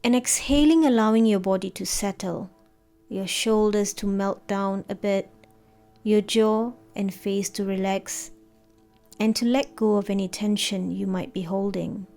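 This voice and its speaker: A woman narrating a guided meditation in a gentle, soothing, unhurried manner. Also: medium pitch, steady tone. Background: background music.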